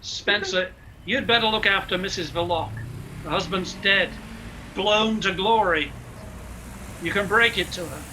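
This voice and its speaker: A man playing a brisk, commanding Scotland Yard inspector in a live radio play. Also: sits high, pushed and bright, slight background noise, poor audio. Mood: businesslike.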